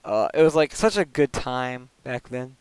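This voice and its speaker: A young man talks loosely while he plays, hopping between thoughts and padding everything with "like" and small laughs. His voice is smooth and clean, sitting in an ordinary middle pitch. It sounds like a desk microphone at home. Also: animated, a little mellow, no room sound, rough recording. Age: young adult. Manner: rambling, full of "like" fillers, quick laughs. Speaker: streamer. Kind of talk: casual chat while gaming.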